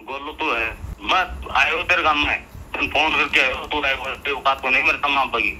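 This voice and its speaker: A man's voice: medium pitch, brisk, sharp tone. A man with a Rajasthani accent arguing heatedly on the phone, insistent, repetitive and threatening. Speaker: retired soldier on a phone call. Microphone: telephone. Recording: noisy phone call recording.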